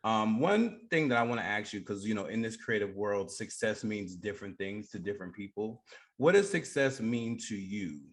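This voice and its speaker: Low-pitched man hosting an interview. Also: lively intonation, normal speed. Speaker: radio show host, interviewer. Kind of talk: conversational interview.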